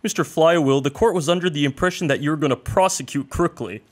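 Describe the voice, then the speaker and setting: A man's voice: fairly high pitch, quick pace, natural voice. A young man playing a stern, formal male judge who gives exasperated rulings in a comic radio play. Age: young adult.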